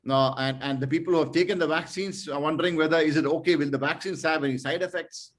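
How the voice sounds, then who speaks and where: A man's voice: on the high side, fairly even intonation, fast. Guy running an online club meeting, lots of uhs, then earnest pep-talk questions. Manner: hesitant with many fillers, rising to earnest rhetorical questions. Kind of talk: formal meeting chairing, then motivational speech.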